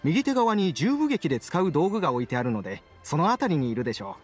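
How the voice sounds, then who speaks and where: An earnest young clerk in an anime game, courteous and formal. His voice sits slightly high and moves expressively, with faint music behind it. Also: sing-song, quick pace, slightly rough. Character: polite, earnest young male office clerk. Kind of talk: Japanese anime game voice acting.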